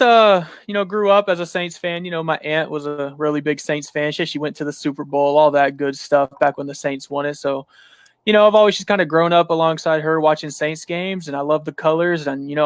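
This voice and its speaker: A man's voice: a little high, dry recording. A man talking casually as a podcast guest about football, leaning on fillers like "you know". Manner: relaxed, filler-heavy, says "you know" a lot. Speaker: podcast guest, sports writer. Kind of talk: casual sports chat.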